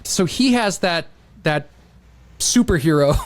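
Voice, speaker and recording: A man with a somewhat high voice talks in a loose, conversational podcast style. He tells stories in an animated way and slips into quoted reactions. The sound is close and dry. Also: takes it slowly, dry recording. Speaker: podcast host. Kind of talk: casual podcast chat.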